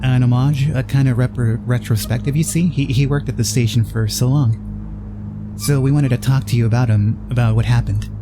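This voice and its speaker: A man's voice: medium pitch, fairly even intonation, moderate pace, background noise, pitched above the performer's own voice, lightly acted. A man voicing an ambitious, opportunistic female TV reporter in a horror game, in an assertive, matter-of-fact way. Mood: polite, persuasive. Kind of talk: reading game dialogue in character.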